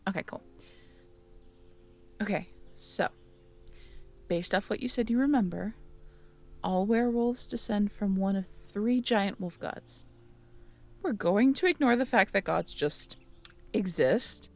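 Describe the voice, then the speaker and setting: A woman acting a caring, teasing, curious young girlfriend in an intimate roleplay audio drama, talking softly and conversationally to the listener. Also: medium pitch, a little slow, pauses often, close to an everyday voice. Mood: playful teasing. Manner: soft, intimate, conversational, playful.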